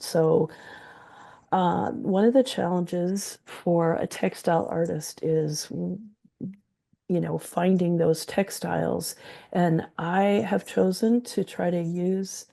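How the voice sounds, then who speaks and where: An older woman speaks with a hoarse, gravelly rasp and a dark, mellow tone. She talks slowly and unhurriedly, presenting her quilts with frequent ums. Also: lowish voice. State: hoarse from the flu. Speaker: textile artist presenting her work.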